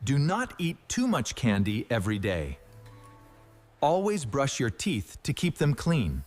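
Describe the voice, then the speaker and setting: A man voicing a kind, patient cartoon dentist who speaks calm, simple broken English. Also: medium pitch, unhurried, big-room sound, faint music behind. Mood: reassuring.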